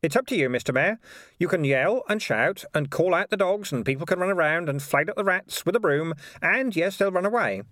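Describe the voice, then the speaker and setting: A man voicing a cool, quietly menacing male cat who speaks with calm confidence. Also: high voice, brisk, no echo, pitched above the performer's own voice. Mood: persuasive. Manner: smooth and quietly threatening.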